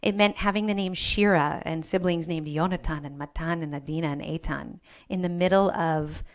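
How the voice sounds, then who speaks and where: A woman's voice: low-pitched, fairly even intonation, moderate pace. A woman from the United States with an American accent tinged with Israeli sounds, a rabbi speaking personally and thoughtfully on a panel to a live audience.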